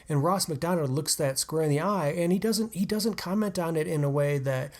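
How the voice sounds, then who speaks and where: A man's voice: fairly high pitch, a little mellow. A man co-hosting a podcast, talking about a novel in a casual, earnest and analytical way. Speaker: podcast co-host. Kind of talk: casual book discussion.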